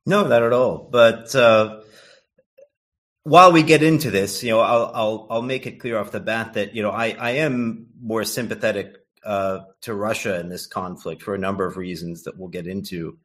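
A man from the United States talks as an interview guest in a somewhat low voice. He rambles, stumbles over his words and fills pauses with uhs. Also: fairly bright tone. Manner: rambling, stammering restarts, many uhs. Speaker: guest writer and editor. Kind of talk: casual interview chat.

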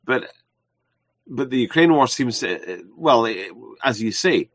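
A man hosting a livestream interview, talking in a halting way with many fillers and restarts. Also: mid-range voice, animated, fairly bright tone, no room sound. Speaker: livestream host. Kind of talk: casual interview chat.

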